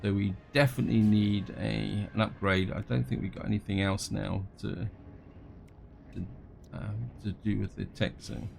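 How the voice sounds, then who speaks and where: A man with a croaky, congested voice from a cold, casually commenting on his gameplay and thinking aloud as he goes. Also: lowish voice, fairly even intonation, moderate pace, slight background noise. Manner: rambling, thinks aloud with fillers and restarts; reads on-screen game text aloud.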